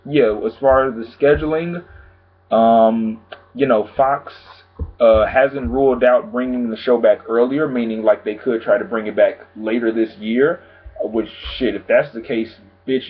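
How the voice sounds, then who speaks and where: A man vlogging about TV news in a casual, chatty way, calm and low-key, with lots of filler phrases. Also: normal speed, slightly rough. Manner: chatty, frequent "pretty much" and "you know what I'm saying". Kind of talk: casual chat to camera. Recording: tinny phone-like sound.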